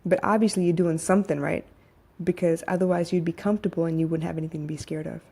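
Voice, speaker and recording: A young woman hosts her own podcast as a life coach, talking straight to listeners in a frank, swearing motivational monologue. Her voice is soothing and mellow, sitting a little low. She sounds like she is chatting casually rather than reading a script. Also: steady tone, fast, breathy edge.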